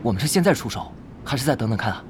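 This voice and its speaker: A man's voice: mid-range voice, sing-song, very fast, background noise, lightly acted. A man acting an alert young gamer and loyal sidekick in a Chinese radio drama, watchful as he reports. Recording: background music under the voice.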